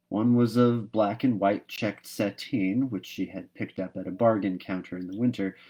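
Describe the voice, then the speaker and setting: A man with a fairly low-pitched voice hosts a live reading. He talks casually to listeners and narrates storybook prose aloud. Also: mellow. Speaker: host reading a book aloud.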